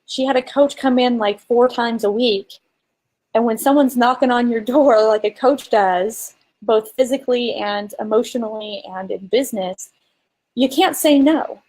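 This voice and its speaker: A woman's voice: fairly high pitch, moderate pace, a little mellow, low-quality recording. A woman co-hosting a casual business coaching chat, talking informally and emphatically and explaining with examples. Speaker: co-host and marketing coach.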